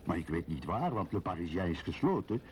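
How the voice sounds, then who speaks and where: An actor playing a brooding, lonely middle-aged husband in a radio drama, musing and questioning himself wryly. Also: male voice, low voice, big pitch swings, a little fast, a little hiss or hum. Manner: musing, self-questioning, wry.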